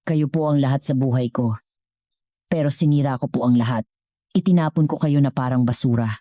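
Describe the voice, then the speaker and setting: A woman's voice: extremely low pitch, brisk, warm tone, no echo, studio quality. A woman voicing a humbled, remorseful adult son in a dramatic story, respectful and earnest as he pleads with his mother. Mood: apologetic.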